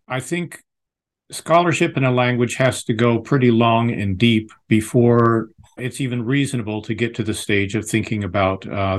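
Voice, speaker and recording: An American man, a lexicographer and author, giving thoughtful, measured interview answers with frequent restarts. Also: lowish voice. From United States.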